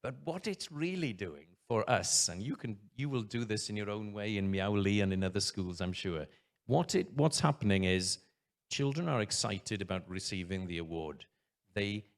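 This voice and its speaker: Earnest head teacher, lowish voice. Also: male voice, lively intonation, normal speed. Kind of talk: conference talk.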